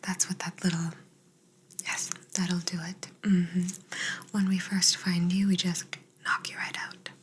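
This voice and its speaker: A woman voicing a jaded alien abductor in a hushed, breathy ASMR roleplay, giving soft but bossy instructions. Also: fairly low pitch, level tone, measured pace. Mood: calm, instructing. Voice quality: breathy whisper. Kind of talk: ASMR roleplay, personal attention.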